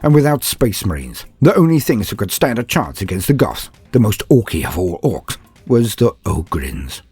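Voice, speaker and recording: A man's voice: on the low side, big pitch swings, normal speed, darkish tone, breathy edge, no echo. A man narrating a comedic fantasy war story in a dramatic, mock-epic way with wry asides. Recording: dry close recording with faint music.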